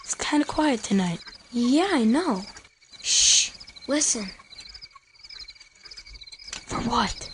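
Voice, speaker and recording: A woman voicing an excitable, bickering ten-year-old boy in a slangy audio drama about a childhood scare. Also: fairly high pitch, slow pace, pauses often, slight background noise. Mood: hushed, wary. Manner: slangy, exclamatory, boyish. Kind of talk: audio drama dialogue, nostalgic childhood horror story.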